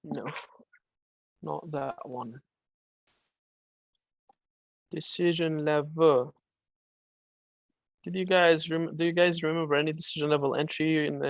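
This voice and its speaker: Malaysian man with a gravelly voice. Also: fairly high pitch, dry recording. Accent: Malaysian English. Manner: casual, chatty, many fillers and restarts. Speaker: trading mentor. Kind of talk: live-streamed trading Q&A.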